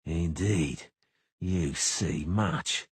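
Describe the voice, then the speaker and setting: Dude doing a creepy low killer, super slow drawl, hissy, husky. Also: male voice, very animated, dry recording, clean studio sound, exaggerated voice. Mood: menacing drawl. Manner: drawling, hissing.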